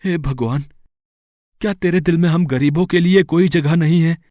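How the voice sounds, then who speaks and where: A man's voice: fairly high pitch, normal speed, mellow, no room sound, clean studio sound, lightly acted. A man playing a poor, humble fruit seller in a moral story, speaking in an emotional, pleading way. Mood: anguished. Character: poor, despairing young male fruit seller, humble and devoted. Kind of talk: dramatised moral story acting.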